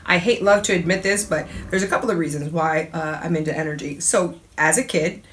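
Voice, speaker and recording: A woman's voice: sits low, fairly quick, slight background noise. Chatty laughing woman radio host. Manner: chatty, laughs often, animated asides. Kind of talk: casual solo talk show.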